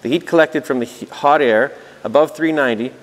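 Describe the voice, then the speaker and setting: A man's voice: medium pitch, brisk. Male explainer host, mid-range voice, talks through technical diagrams conversationally with dry asides, recorded with a long reverberant tail. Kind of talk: informal technical walkthrough of diagrams, partly read aloud. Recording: reverberant room with long echo.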